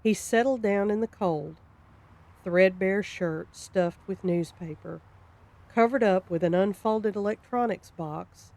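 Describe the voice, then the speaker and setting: A woman narrating a scripted horror story in a deliberate, descriptive storytelling manner. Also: fairly low pitch, a little mellow.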